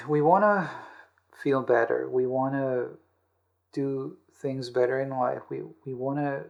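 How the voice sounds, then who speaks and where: A man with a medium-pitched voice and a noticeable creak explains personal-growth ideas in an interview. He sounds earnest and often repeats or rewords his phrases as he builds a point. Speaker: podcast host and author being interviewed. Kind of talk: explanatory interview talk on self-help.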